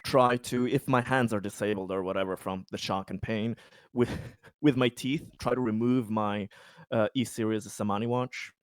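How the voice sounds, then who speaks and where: A man's voice: lowish voice, fairly even intonation, moderate pace, no echo, clean studio sound. A man chatting casually as a player in a tabletop game, informal and sweary, often repeating his words.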